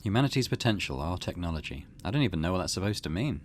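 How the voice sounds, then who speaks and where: A man's voice: sits low, lively intonation, rapid-fire. A man hosting a let's play, musing casually and reading game text aloud in a conversational way. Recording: faint background noise.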